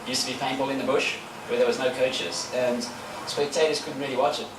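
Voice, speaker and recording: A man's voice: medium pitch, fairly even intonation, a little fast. A man, a paintball player chatting in an outdoor interview with lots of fillers and restarts. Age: 30s. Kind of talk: casual interview answers. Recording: echoey, far from the mic, with noise.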